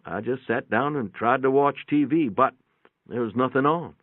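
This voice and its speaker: A man's voice: mid-range voice, normal speed, no echo. A man giving a dramatic first-person reading of a short story in a folksy, matter-of-fact storytelling manner. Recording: muffled, narrow phone-like sound, dry.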